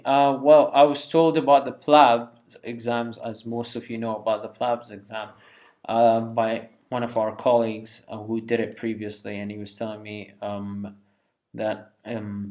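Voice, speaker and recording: A man's voice: lowish voice, level tone, normal speed, darkish tone. A man in his twenties from Iraq, a junior doctor answering interview questions in a friendly, candid way. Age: 20s. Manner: friendly and candid, laughs lightly. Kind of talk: relaxed conversational answers.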